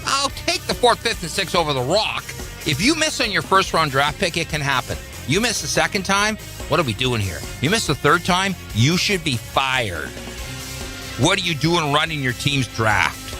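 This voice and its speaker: A man's voice: on the high side, sing-song, moderate pace. A man giving opinionated sports radio talk, emphatic and full of rhetorical questions. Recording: promo music behind voice. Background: music.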